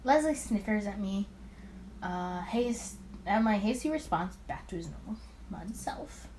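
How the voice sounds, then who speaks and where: A woman's voice: mid-range voice, animated, a little slow, darkish tone, rough recording. A woman reading a visual novel aloud with commentary, expressively and with stumbles and rereads, over some background noise. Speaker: let's player.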